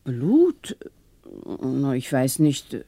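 An actress playing a frail, genteel older bereaved wife in a 1960s German radio play, speaking hesitantly. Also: female voice, a bass voice, huge pitch swings, mellow, breathy edge, no room sound, heavily acted. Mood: uneasy. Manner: hesitant, halting.

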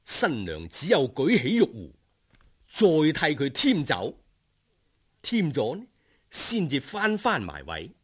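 A man with a Cantonese accent narrating a folk tale as a dramatic, expressive storyteller who savours each description. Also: a little high, slow pace, long pauses, husky.

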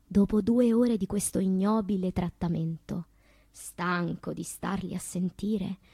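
A slow, husky, anguished woman poet. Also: medium pitch, steady tone, warm tone, no echo. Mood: wry, bitter. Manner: slow, declamatory, with long pauses. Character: anguished woman poet recalling loves, madness and death. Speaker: stage actress. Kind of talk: theatrical poetic monologue.

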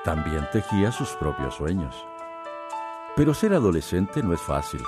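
A man's voice: sits low, big pitch swings, moderate pace. A man giving scripted narration for a radio drama. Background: prominent music.

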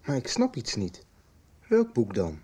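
An inquisitive man in a radio soap. His voice is soft-edged, dark and husky, with lively swings in pitch. He listens closely and questions what he hears. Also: unhurried, heavily acted. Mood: puzzled. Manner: questioning, attentive. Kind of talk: radio drama dialogue.

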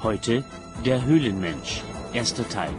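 Slow male announcer over music. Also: medium pitch, lively intonation. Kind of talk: radio play title announcement.

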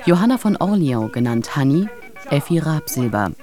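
An announcer reading scripted radio drama credits in a measured, formal way. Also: medium pitch, big pitch swings, dark tone, soft background music.

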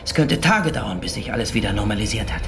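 A gravelly, brooding survivor. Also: male voice, fairly low pitch, animated, over music. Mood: ominous. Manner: slow, musing, ominous. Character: brooding male survivor, dark theorist. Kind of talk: radio drama acting.

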